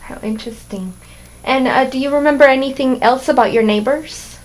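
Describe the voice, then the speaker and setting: A woman conducting an oral history interview, asking questions and answering with short, gentle acknowledgements. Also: high-pitched, a little fast, poor audio.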